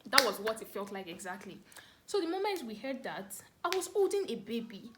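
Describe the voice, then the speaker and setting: A woman with a Nigerian accent telling a story casually to camera, animated and dramatic, repeating words for emphasis. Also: fairly high pitch, fairly quick, a little hiss or hum. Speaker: vlogger telling a family story.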